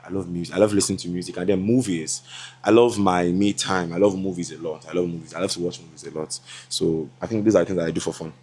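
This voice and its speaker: A man's voice: sits low, darkish tone. Chatty young Nigerian man vlogging. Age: 20s. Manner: chatty, laughing, switches into Yoruba asides. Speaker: content creator. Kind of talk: casual vlog talk to camera.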